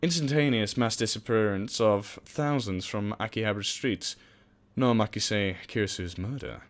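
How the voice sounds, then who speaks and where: A man's voice: fairly low pitch, normal speed. A young man casually reading a visual novel aloud for a let's play. He jokes, swears and mocks himself as he stumbles over words.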